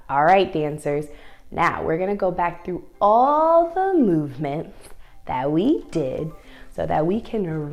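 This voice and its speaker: A woman's voice: on the low side, darkish tone. A woman leading a story time ballet lesson for young children, giving encouraging instructions and sing-song questions.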